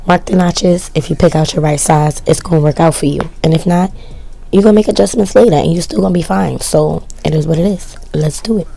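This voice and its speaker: A woman narrating her sewing project in a casual, chatty voice-over, her voice worn and cracking from yelling, with self-mocking, shrugging asides. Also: low voice, gravelly, husky. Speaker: sewing vlogger.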